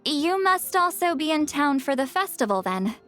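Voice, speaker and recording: A proud, guarded ex-commander speaks in a high, lively voice. She is wry and easily flustered, and faint game music plays under her lines. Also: moderate pace. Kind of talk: video game voice acting.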